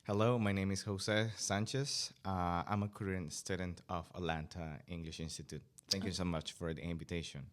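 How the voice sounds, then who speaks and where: Brief: young Venezuelan man, Spanish native, very deep voice, halting answers as an interview guest. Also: steady tone. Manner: hesitant, many fillers and restarts. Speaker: student guest. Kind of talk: podcast interview.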